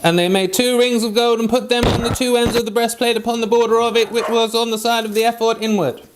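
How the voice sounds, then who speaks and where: A man reading scripture aloud as an amateur Bible reader, slightly halting in his delivery. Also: very high-pitched, little pitch movement, over music, low-quality recording.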